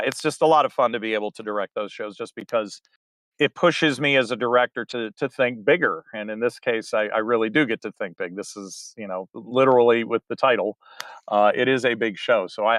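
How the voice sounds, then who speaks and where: Middle-aged male director as guest, talks loosely with lots of "uh", mid pitch, no room sound. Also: fairly bright tone, no room sound, poor audio. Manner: chatty, rambling with fillers and restarts. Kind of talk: casual interview answers.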